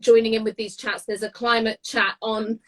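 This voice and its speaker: A British woman giving a casual live cooking demonstration, chatty and descriptive, often pausing mid-phrase as she explains. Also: fairly high pitch, level tone, fairly bright tone. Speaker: guest cook demonstrating a recipe.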